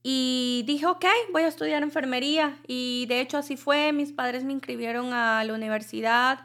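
A young woman recounts her life story in a podcast interview. Her fairly high voice is soft-spoken and reflective, with a touch of nervousness. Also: fairly even intonation, normal speed. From El Salvador. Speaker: podcast guest, cosmetologist.

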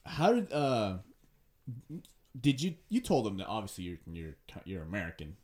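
Deep-voiced young podcast host. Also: male voice, big pitch swings, normal speed, a little mellow. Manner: hesitant, stumbling, many fillers. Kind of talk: casual podcast chat.

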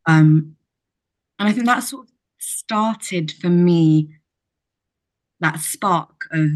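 A woman's voice: fairly low pitch, very slow, poor audio. A young adult woman from Kosovo, a hotel general manager, answering podcast interview questions in a reflective way with frequent ums.

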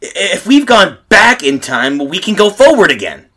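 A man's voice: high-pitched, big pitch swings, moderate pace, bright, forceful tone, full character voice. A man acting a frantic, disbelieving husband lost in a strange past, stammering and repeating himself in a 1930s horror radio play style. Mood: frantic, alarmed. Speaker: actor and narrator in a radio drama.

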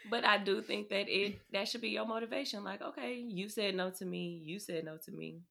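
Encouraging woman, bright low voice. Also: steady tone, brisk, creaky. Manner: encouraging, speaks in short affirmations. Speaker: podcast co-host. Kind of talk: casual chat.

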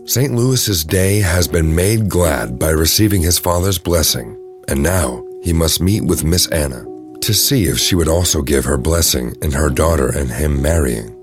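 A man narrates an audio drama in a very low voice. His scripted storytelling runs over clearly audible music.

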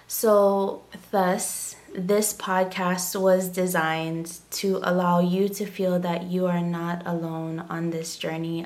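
A woman from Hawaii, hosting her own podcast, talking slowly and gently with many ums and pauses. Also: fairly low pitch. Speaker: podcast host. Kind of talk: casual solo podcast monologue. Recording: loud background music.

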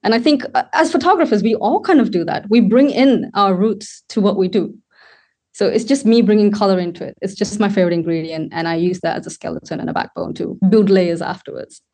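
A young woman from Sri Lanka, a wedding photographer, talking casually as a guest and pausing often to find her words. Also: mid-range voice, warm tone. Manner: thoughtful, searching for words with many fillers. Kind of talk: casual podcast conversation.

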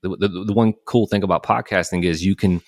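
Very deep-voiced joking male host. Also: fast talker, no echo, studio quality. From Alabama, USA. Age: 30s. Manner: joking, relaxed, repeats words. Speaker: podcast host. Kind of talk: casual chat. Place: cigar lounge.

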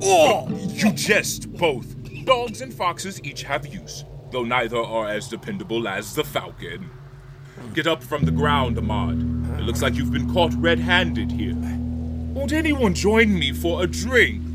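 An actor playing a proud middle-aged patriarch ruler in an audio drama, with a grandiose, declamatory delivery. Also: male voice, a little high, sing-song, a little slow. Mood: commanding, wry. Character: proud, boastful patriarch ruler, father of ten sons. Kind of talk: theatrical audio drama acting. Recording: loud music behind the voice. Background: guitar music.

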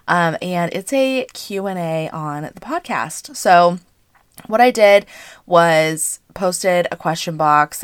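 A woman in her thirties hosting a casual solo podcast, hoarse and congested from allergies, chatty with frequent fillers. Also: low-pitched, dry recording, clean studio sound. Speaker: podcast host and business coach.

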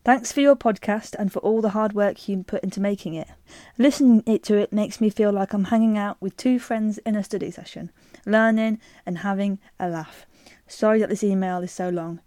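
A woman co-hosting a podcast, chatting casually and reading a listener's email aloud, lively and quick to laugh. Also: medium pitch, no room sound. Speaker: podcast co-host.

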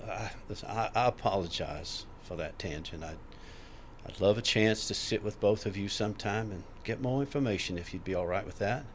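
A man's voice: lowish voice, a little fast, lightly acted. Man acting tentative colleague over noisy ambience. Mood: apologetic. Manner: hesitant, apologetic, careful. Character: polite, curious, tentative male colleague asking careful questions. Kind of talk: scripted fiction podcast acting.

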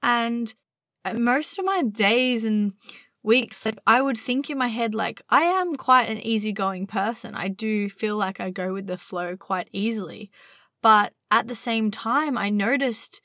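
A young woman hosting a spiritual podcast from inside her van at night, slightly husky as her voice recovers, talking in a dreamy, meandering way. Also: fairly high pitch, pushed and bright, no room sound, clean studio sound. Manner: dreamy, meandering, drawn-out words. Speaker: podcast host. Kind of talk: casual spiritual podcast monologue.

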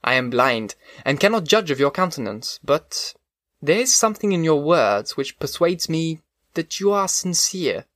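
A man voicing a gentle, blind old exile who speaks in earnest, old-fashioned phrases. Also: mid-range voice, no room sound, studio quality, close to an everyday voice. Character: blind, poor old exiled father, kindly.

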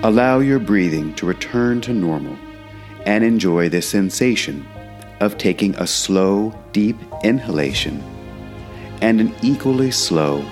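A man guiding a meditation in a calm, measured way, leaving long pauses between phrases. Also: lowish voice. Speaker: meditation guide. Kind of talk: guided meditation narration. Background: background music.

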